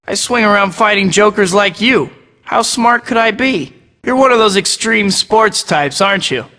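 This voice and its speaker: A sarcastic young web-slinging hero from a video game, firing off quips at his foes. His voice sits a bit high for a man and sounds bright and crisp, with a long reverb trailing each line. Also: normal speed. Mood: taunting. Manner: quippy, sarcastic banter. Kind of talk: scripted game voice acting.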